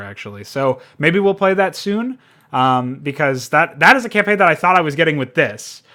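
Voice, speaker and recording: Guy doing an unscripted game review, kinda high voice, rambly and casual, swears a lot. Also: a bit bright. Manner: rambling, informal, frequent swearing.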